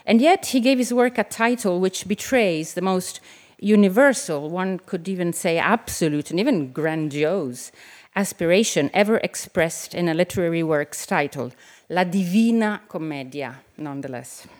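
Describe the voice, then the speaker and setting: An Italian woman, a novelist, reading her essay aloud at a live event in a measured, reflective way, with the odd aside. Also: on the low side, a little mellow. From Italy. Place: event venue with an audience.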